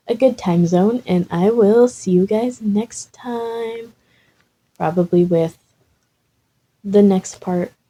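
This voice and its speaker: A woman hosting and reading comic fiction in a mellow, fairly low voice. She takes her time, with an informal storyteller's delivery. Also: muffled recording. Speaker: fan fiction reader and host. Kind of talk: comic story narration, then casual chat.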